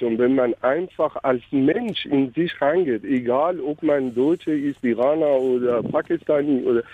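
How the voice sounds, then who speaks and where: A man from Iran with a Persian accent, calling in to a radio show and speaking in an earnest, halting way. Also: medium pitch, breathy edge, no room sound. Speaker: caller. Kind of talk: radio call-in. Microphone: phone. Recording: phone line.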